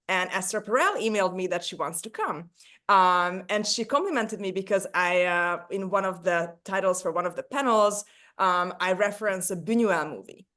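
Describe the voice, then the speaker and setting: A Hungarian woman leads a relaxed panel conversation in a middle-pitched voice. She talks eagerly and quickly, slipping in cultured asides as she goes. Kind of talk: casual panel discussion.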